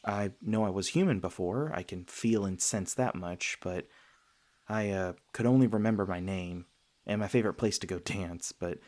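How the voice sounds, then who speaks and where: A low-pitched, soft-hearted male vampire, played by a man, speaks closely to one listener in an ASMR roleplay. He is earnest and self-conscious, often hesitating or stammering as he gently explains himself. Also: normal speed, natural voice. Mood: hesitant, somber. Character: gentle, self-conscious male vampire, century old but youthful, sweetly flustered.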